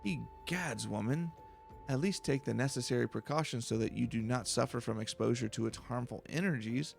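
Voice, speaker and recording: Pompous male scholar for a fantasy game, acted by a man: condescending, fussy, verbose, some fry in the voice. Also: medium pitch, normal speed, soft background music. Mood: exasperated, condescending. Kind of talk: video game dialogue read aloud.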